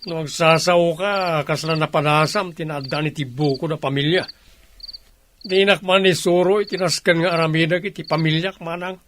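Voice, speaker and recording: Guy playing village husband, slightly high voice, ilocano accent, radio drama, faint noise behind. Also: fairly even intonation, moderate pace, fairly bright tone, natural voice. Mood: defensive. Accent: Ilocano Filipino. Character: village working husband, defensive yet familiar.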